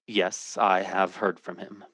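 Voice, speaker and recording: An earnest, troubled man in an old-time radio drama. His voice sits a little low and is recorded dry. He speaks with serious intensity. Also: level tone, slow pace, a bit bright, no room sound. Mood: guarded, earnest. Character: earnest, troubled man telling a fantastic story.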